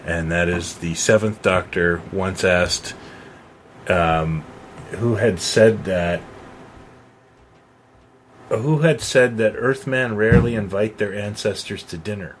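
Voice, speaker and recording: A man's voice: on the low side, takes it slowly, pauses often, a little hiss or hum. A man co-hosting a podcast in an informal, conversational style. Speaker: podcast co-host. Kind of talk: casual chat.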